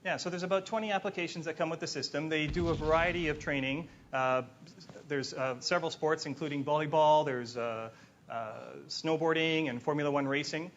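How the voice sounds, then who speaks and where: A man's voice: fairly high pitch, fairly even intonation, a little fast, fairly bright tone, lo-fi sound, a little hiss or hum. A man explaining a product as a guest during a conversational demonstration. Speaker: company co-founder, guest demonstrating a system. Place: TV studio stage.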